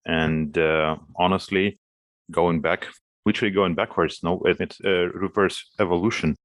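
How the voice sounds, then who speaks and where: A young Ukrainian man talks in informal English with a Russian-speaking Ukrainian accent. His voice sits very low and the sound is dry. He leans on casual fillers as he speaks. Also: fairly even intonation, moderate pace, dry recording. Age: young adult. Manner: informal, uses fillers like "like" and "well". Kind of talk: casual conversation.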